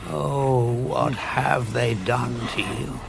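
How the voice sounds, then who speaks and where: An actor playing an inquisitive, grave and compassionate doctor in a sci-fi audio drama, explaining things in a measured way. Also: male voice, medium pitch, steady tone, gravelly, noisy background, full character voice.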